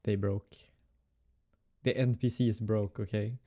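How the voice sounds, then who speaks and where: A young man with a Swedish accent, streaming casual game development and musing aloud with fillers and soft laughs. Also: lowish voice, steady tone, slow. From Sweden. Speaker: game developer streaming his hobby project. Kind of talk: casual live-coding stream chatter.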